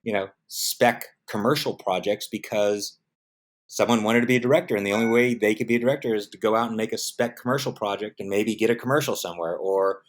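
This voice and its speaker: A man's voice: fairly low pitch, fast. A middle-aged man with an American accent giving casual, anecdotal interview answers, animatedly acting out bits of dialogue. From San Diego, United States. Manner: animated storyteller, acts out quoted dialogue. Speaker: interview guest, film production professional.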